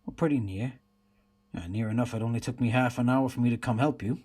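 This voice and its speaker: A man playing a weary, patient detective in a radio play, with a husky voice. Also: mid-range voice, fast talker, mellow, natural voice. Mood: gentle, coaxing. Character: weary male detective and father, patient questioner. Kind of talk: radio drama dialogue.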